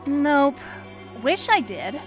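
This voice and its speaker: A young woman playing an excitable, earnest young detective in a video game fan dub, with expressive, peppy delivery. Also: high-pitched, drawn-out words, faint music behind, exaggerated voice. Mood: matter-of-fact.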